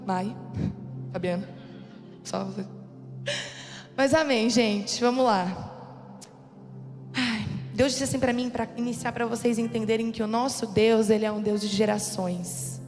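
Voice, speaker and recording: Hoarse young woman preaching in an echoey hall. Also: on the high side, darkish tone. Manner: conversational, calls for amens. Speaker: youth group preacher. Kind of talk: sermon. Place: church youth service hall.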